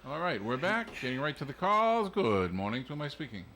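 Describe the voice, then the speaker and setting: A man's voice: medium pitch, sing-song, a little fast, sharp tone, soft background music. A man hosting a casual morning radio call-in show with joking, teasing banter.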